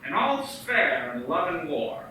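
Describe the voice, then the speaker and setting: A man's voice: on the high side, unhurried, a little hiss or hum, full character voice. An actor in a community radio play voicing George, a restless young man who jokes and banters with his family. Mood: teasing. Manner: lively, bantering, shifts to subdued. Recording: echoey room from a distance.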